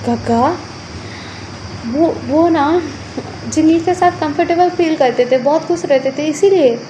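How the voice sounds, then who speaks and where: Woman playing an earnest pleading nephew, high-voiced. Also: measured pace, mellow, a little hiss or hum, poor audio. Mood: defensive, earnest. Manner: pleading, explaining himself. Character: younger male relative, earnest, apologetic, pleading nephew.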